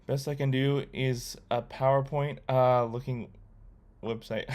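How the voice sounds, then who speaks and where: A young man on a laid-back coding livestream, talking loosely to his chat with plenty of ums and restarts. His voice sits at an ordinary middle pitch, and keyboard typing is heard behind him. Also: slow pace. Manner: relaxed, rambling, reads chat aloud with many fillers. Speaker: livestreamer learning to code.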